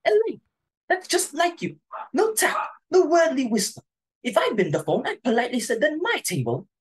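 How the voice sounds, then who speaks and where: A woman's voice: high voice, wildly swooping pitch, normal speed. Woman acting a fussy society hostess, wildly swooping voice. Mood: alarmed, scolding. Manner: haughty, exclamatory, easily flustered. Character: fussy, snobbish society hostess and domineering mother. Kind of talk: amateur dramatic reading of a stage comedy.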